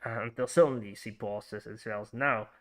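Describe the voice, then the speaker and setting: A man's voice: mid-range voice, animated, moderate pace, a bit bright. Chatty Frisian-accented male game streamer. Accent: Frisian Dutch. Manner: chatty, thinks aloud, greets chat, many fillers and restarts. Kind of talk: casual livestream commentary while playing.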